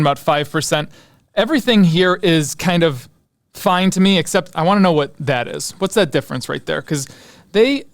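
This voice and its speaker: A man with a slightly high, very clean and smooth voice hosts a stock breakdown. He talks informally and unscripted, reasoning out loud and muttering asides to himself. The sound is dry and close. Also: no room sound. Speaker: host of a stock analysis show. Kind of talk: casual unscripted stock commentary.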